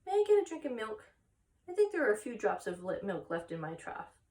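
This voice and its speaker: A woman voicing an innocent, anxious young male pig for a storybook, soft, pleading and childlike. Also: fairly high pitch, sing-song, a little fast, voice pushed up. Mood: timid, asking.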